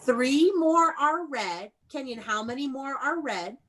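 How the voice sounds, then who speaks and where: A woman's voice: sits high, animated, slow, a bit bright. A woman teaching young children in an online read-aloud class, prompting them with questions and encouragement in an instructive way.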